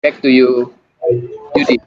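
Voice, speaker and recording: A man with an Indonesian accent giving an online talk as a doctor, halting and repeating words as he goes. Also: medium pitch, sing-song. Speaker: doctor and guest speaker. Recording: muffled lo-fi video call.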